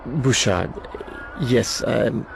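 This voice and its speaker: Gravelly, shady barman informant. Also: mid-range voice, extremely slow, a little hiss or hum, heavily acted, rough recording. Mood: nervous. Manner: guarded, hesitant, shifty. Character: shady, guarded male barman informant, wary and edgy. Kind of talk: video game cutscene acting.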